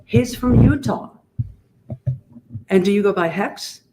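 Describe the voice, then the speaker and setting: A man's voice: sits high, slow. A man moderating a live event, upbeat and welcoming, laughing often as people introduce themselves. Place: meeting room at a live event. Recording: echoey room from a distance, faint hiss.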